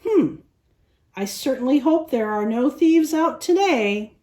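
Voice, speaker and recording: Storybook cat farmer, read by a woman: cheerful, innocent, earnest, animated intonation. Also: sits high, measured pace, reverberant. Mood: hopeful.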